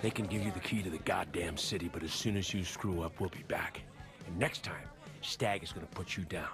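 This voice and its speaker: An actor playing a hard-nosed older military commander, authoritative and menacing. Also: male voice, deep voice, brisk, over music. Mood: seething.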